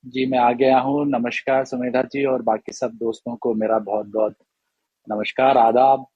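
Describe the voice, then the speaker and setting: A man from Rajasthan, India, speaking as a guest writer in a casual interview, halting and thoughtful. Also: medium pitch, little pitch movement, breathy edge, no echo, highs cut off.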